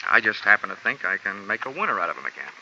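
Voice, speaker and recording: A man's voice: on the low side, sing-song, very fast, pushed and bright, slight background noise, full character voice. An actor playing a wisecracking male insurance investigator who also narrates, dry and matter-of-fact. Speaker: narrator and lead. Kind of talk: 1950s radio drama acting and narration. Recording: lo-fi old radio broadcast with music.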